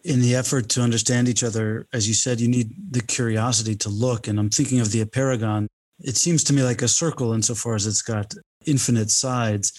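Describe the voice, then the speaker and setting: A man hosting a podcast, reading an announcement and asking questions in a measured, lightly joking way. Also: mid-range voice, fairly even intonation, darkish tone. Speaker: podcast host.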